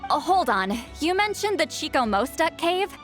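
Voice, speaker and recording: Proud prickly game shaman, youthful, high, very animated, brusque, easily flustered. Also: moderate pace, soft background music. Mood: surprised, pensive. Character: proud, prickly, reclusive female great shaman, youthful-sounding, secretly geeky. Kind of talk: video game voice acting.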